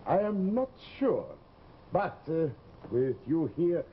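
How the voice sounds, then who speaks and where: An actor playing a grave, middle-aged sanitarium doctor, formal and hesitant, in 1950s television drama style. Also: male voice, fairly high pitch, sing-song, takes it slowly. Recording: dull, noisy old TV soundtrack.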